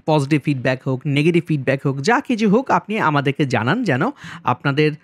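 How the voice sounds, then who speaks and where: A man narrating a vlog as its host, politely and in measured phrases, speaking directly to viewers. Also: on the high side, lively intonation, dry recording. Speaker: travel vlogger and host. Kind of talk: vlog voice-over narration with review commentary. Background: background music.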